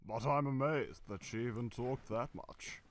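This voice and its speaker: A man acts a villainous court official in an audio drama. He has a medium-pitched voice and mutters to himself, resentful and plotting. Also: measured pace, slight background noise, lightly acted. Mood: resentful, scheming. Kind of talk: radio play acting, fan production.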